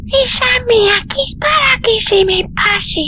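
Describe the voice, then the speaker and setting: A man performs an innocent little girl from a storybook, frank and plaintive. The voice is extremely high, squeaky and piercingly bright, speaking slowly and seriously with faint noise behind it. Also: exaggerated voice. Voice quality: squeaky, childlike. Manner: slow, earnest, reproachful.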